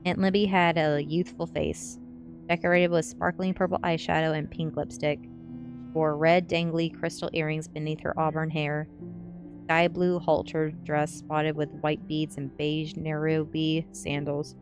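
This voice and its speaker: A woman's voice: low-pitched, flat delivery, a little slow, over music. A woman reading a novel aloud as ASMR storybook narration.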